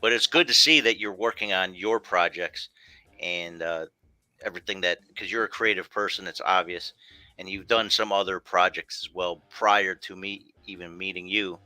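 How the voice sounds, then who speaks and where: A man's voice: sits low, normal speed, sharp tone, dry recording. A man hosting an interview show in a loose, rambling conversational way. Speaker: show host. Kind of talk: casual interview chat.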